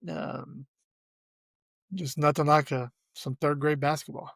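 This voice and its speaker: Hoarse man hosting a sports podcast. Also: on the high side, flat delivery, takes it slowly, fairly bright tone, dry recording. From Arkansas. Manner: laid-back, laughs often. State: hoarse from a cold. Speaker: podcast host. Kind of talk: casual sports chat.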